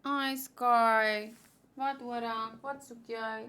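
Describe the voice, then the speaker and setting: A woman's voice: on the high side, steady tone, very slow, pushed and bright, clean tone. Woman reading manga aloud with casual asides. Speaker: manga read-along host.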